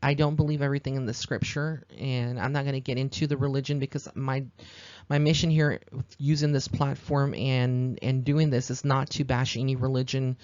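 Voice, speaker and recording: A man's voice: medium pitch, little pitch movement, moderate pace, darkish tone, no room sound, muffled recording. An American man from Texas hosting a podcast, speaking in an informal, earnest monologue, explaining at length in a rambling way. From Texas, United States. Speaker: psychic medium podcast host.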